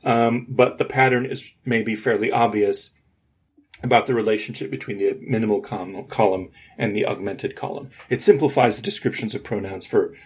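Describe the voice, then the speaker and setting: A man's voice: on the low side, fairly even intonation, normal speed, husky. A man co-hosting a podcast, explaining technical ideas step by step in an informal discussion. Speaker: podcast co-host.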